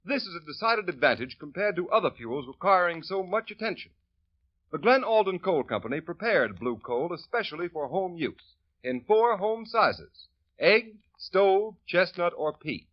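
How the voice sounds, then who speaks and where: A male announcer reads an old radio commercial in an earnest, persuasive manner. His voice is slightly high and moves a lot in pitch. The recording sounds dull and old. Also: sing-song, moderate pace. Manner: earnest, persuasive salesman delivery.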